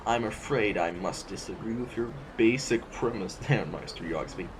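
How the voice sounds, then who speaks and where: A man playing a formal, courteously menacing sovereign who chairs a council, speaking in pompous, measured, halting oratory in an audio drama. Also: lowish voice, background noise, lightly acted. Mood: stern, patronizing.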